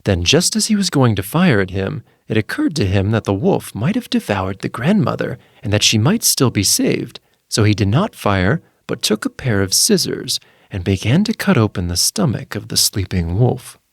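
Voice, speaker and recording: Low-voiced, expressive male storyteller. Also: normal speed, vocal fry, few pauses, slightly rough, dry recording, clean studio sound. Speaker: narrator. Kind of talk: scripted fairy-tale narration.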